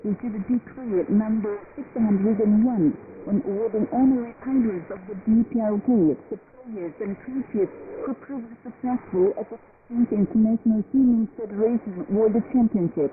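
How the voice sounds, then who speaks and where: A woman announcer reading formal state news in a solemn, measured, declamatory way over shortwave radio. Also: fairly high pitch. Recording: noisy, tinny, phone-like shortwave radio broadcast.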